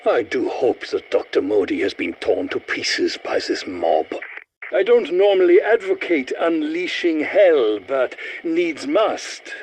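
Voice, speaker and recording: An actor playing a resentful old scientist in a video game, speaking in a formal, wordy, dryly sardonic manner. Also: male voice, a little high, very animated, slow pace, a little mellow, slight background noise. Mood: dry, bitter. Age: older. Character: resentful old German scientist, erudite, fussy, sardonic, excitable. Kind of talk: video game character voice lines.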